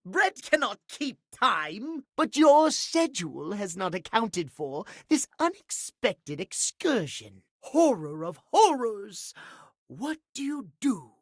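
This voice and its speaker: A man's voice: sits high, very animated, slow, a bit bright, dry recording, clean studio sound, exaggerated voice. A male actor voicing a fussy, romantic timepiece in a video game, with formal, theatrical, precise diction. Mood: fussy, pedantic. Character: fussy, prim, schedule-obsessed male timepiece, romantic dandy. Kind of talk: video game character acting.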